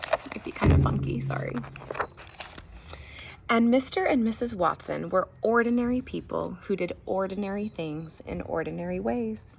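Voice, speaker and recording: Expressive woman reading a picture book. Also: medium pitch, normal speed, creaky, noisy background. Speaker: teacher reading a story aloud to children. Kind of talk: read-aloud picture book with casual asides.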